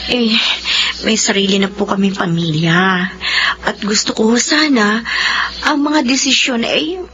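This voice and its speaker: An actress playing a long-suffering wife in a radio drama, earnest and pleading. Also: female voice, mid-range voice, unhurried, close to an everyday voice. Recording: lo-fi with faint hiss.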